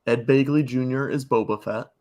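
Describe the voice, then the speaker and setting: A man's voice: medium pitch, fairly even intonation, normal speed, over a noisy crowd. A man talking casually in a podcast discussion. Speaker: podcast speaker. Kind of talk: casual chat.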